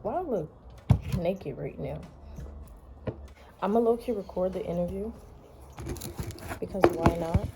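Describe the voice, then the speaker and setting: An American woman vlogger chatting casually in a playful, sassy, slangy way, her voice slightly hoarse. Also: medium pitch, mellow, slight background noise. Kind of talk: casual chatty day-in-the-life vlog.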